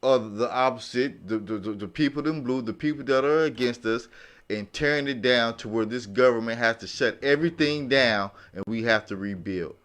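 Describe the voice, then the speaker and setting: A man talking in a casual round-table podcast discussion, halting and repeating himself as he searches for words. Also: medium pitch, fairly bright tone. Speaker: podcast panelist.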